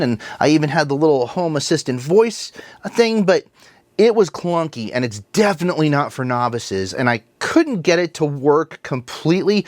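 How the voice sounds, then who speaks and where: Guy doing a casual tech review, pitch moves around a lot, really dry sound no room. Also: no echo. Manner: conversational, explanatory. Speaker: tech reviewer, video host. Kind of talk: casual product review talking to viewers.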